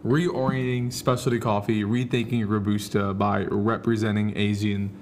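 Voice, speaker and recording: A man's voice: fairly low pitch, flat delivery, normal speed, a little mellow. A young American man from the D.C. area co-hosting a podcast in a casual, playful, slangy way. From Washington, D.C. area, United States. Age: young adult. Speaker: podcast co-host and barista. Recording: faint background noise.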